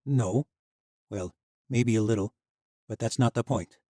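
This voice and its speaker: A man's voice: lowish voice, measured pace, dark tone, no room sound, studio quality. A man voicing a weary, haunted young man who speaks hesitantly and pleads, in an audiobook. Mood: pleading. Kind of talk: audiobook character voice.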